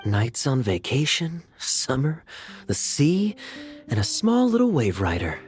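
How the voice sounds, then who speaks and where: Casting: breezy young fantasy knight, playful lilting tease, mid-range and very animated, game dialogue. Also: male voice, mid-range voice, measured pace, soft background music. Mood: nostalgic, breezy. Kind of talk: video game voice acting.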